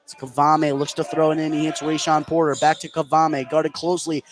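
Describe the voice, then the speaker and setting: A man doing live play-by-play basketball commentary for radio, getting excitable on big plays. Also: on the high side, brisk. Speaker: play-by-play sports announcer. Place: indoor school gym. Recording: clearly noisy live gym broadcast. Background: gym ambience and noise.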